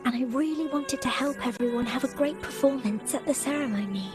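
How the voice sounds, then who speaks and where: A shy, sweet young girl singer from a video game, voiced by a woman. Her voice is very high, with a hesitant, sincere delivery. Music plays clearly underneath. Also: brisk, close to an everyday voice.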